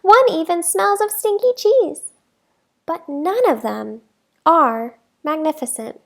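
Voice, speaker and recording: A woman reading a children's picture book aloud as a teacher, with expressive delivery and deliberate, emphatic phrasing for young listeners. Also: very high-pitched, creaky.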